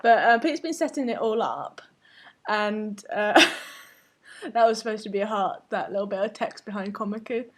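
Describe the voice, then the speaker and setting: A woman's voice: medium pitch, lively intonation, moderate pace. A woman hosting a live painting stream, chatting casually as she works, laughing often and sometimes losing her thread. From Finnish family. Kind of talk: casual chat while painting.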